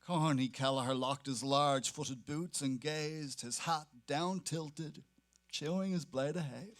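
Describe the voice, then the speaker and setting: A man's voice: on the high side, unhurried. A man giving a dramatic reading of literary prose as narration. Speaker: actor reading aloud.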